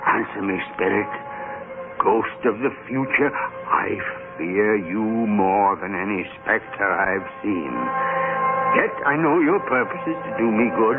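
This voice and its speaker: An actor playing a frightened, remorseful old miser, pleading haltingly in vintage radio drama style. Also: male voice, lowish voice. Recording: old narrow-band radio recording, loud music behind.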